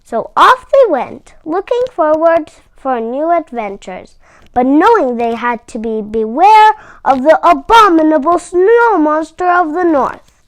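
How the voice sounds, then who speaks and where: A woman reading a children's story aloud with expressive, deliberate delivery and dramatic emphasis. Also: high-pitched, no echo, poor audio.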